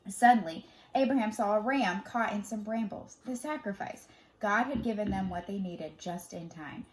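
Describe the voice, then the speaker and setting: A woman reading a children's Bible story aloud as a storyteller, dramatic in delivery, moving between emphatic and hushed phrasing. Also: medium pitch, normal speed, slight background noise, rough recording.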